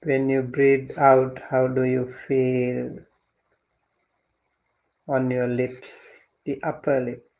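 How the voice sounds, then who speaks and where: A man leading a guided meditation as a teacher, speaking gently and deliberately with long pauses between instructions. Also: medium pitch, completely flat delivery, vocal fry, highs cut off.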